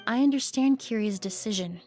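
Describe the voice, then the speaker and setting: A woman playing a composed, gentle and wise crime-scene cleaner in scripted video game dialogue, speaking in a calm, measured, articulate way. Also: fairly high pitch, lightly acted. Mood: calm conviction. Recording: background music under voice.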